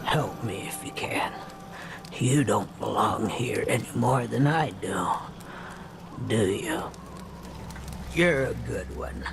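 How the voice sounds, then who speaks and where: Casting: cautious outsider, unhurried creaky voice slipping into whisper, wistful game dialogue. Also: male voice, lowish voice, background noise. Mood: confiding, wistful.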